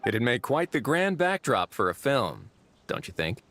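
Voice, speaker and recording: A man playing a calm, dryly witty duke in a video game cutscene, speaking in a composed, measured way. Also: mid-range voice, slight background noise. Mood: wry.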